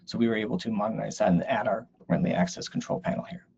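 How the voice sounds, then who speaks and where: A man's voice: fairly low pitch, steady tone, fast talker, vocal fry, a little mellow, a little hiss or hum. A man giving an explanatory slide presentation in a webinar. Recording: dull, lo-fi online audio.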